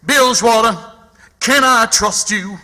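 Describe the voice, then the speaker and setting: Shrill, blustering old backwoods fraud. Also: male voice, bright, forceful tone, heavily acted. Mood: solemn, scheming. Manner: folksy, blustering, drawn-out phrasing. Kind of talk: period radio theatre acting.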